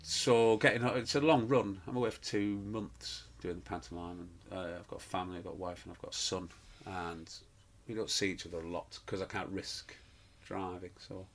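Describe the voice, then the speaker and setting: A man's voice: sits low, fairly even intonation, moderate pace, hardly any pauses. A middle-aged man, a pantomime performer telling stories in an interview, rambling with fillers and restarts. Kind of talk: casual interview chat.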